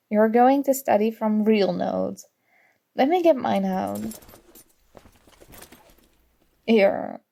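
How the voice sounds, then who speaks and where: A young woman playing a sarcastic, competitive college student in an ASMR roleplay, teasing and exasperated, with a creaky voice. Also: mid-range voice, mellow. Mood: mocking, grudgingly helpful. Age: young adult. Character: snarky competitive college student, grudgingly fond academic rival. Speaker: audio roleplay voice actor.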